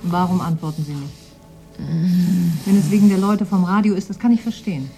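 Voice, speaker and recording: An actress playing a caring, cautious doctor who is protective and soothing, in a German radio drama. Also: female voice, medium pitch, fairly quick, mellow, soft background music, lightly acted. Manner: soothing, concerned.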